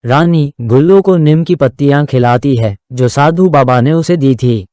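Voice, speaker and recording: A man's voice: mid-range voice, unhurried, dark tone, clean tone, no room sound, studio quality. A man narrating a scripted moral story for a children's cartoon.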